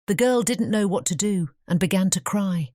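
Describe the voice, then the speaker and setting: A woman's voice: medium pitch, normal speed, warm tone, no room sound, studio quality. A woman with a British English accent reading scripted fairy tale narration as an audiobook narrator.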